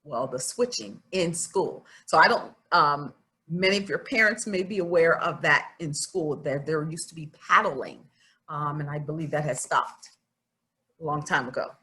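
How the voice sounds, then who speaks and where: A woman's voice: sits low, normal speed, pushed and bright. A middle-aged woman reading a children's book aloud as a story-time host, expressively and with informal explanatory asides.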